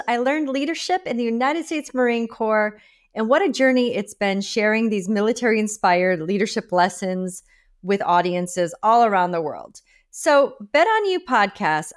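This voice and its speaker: A woman in her forties hosting a podcast interview from a home office over a video call, upbeat and enthusiastic with lively emphasis. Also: on the high side. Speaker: podcast host. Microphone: video call with a desk microphone.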